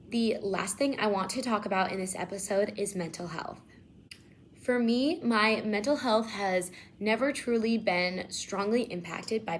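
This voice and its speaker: A woman's voice: medium pitch, slight background noise. A teenage woman from Iowa hosting her own podcast, telling her personal story in an earnest, heartfelt way, sometimes correcting herself. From Iowa, USA. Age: teens. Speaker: podcast host.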